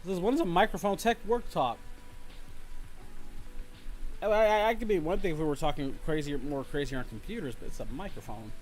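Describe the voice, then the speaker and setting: A man's voice: a little high, a little fast, long pauses, darkish tone, soft background music, low-quality recording. A man hosting a casual livestream chat, talking informally and swearing freely. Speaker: livestream host.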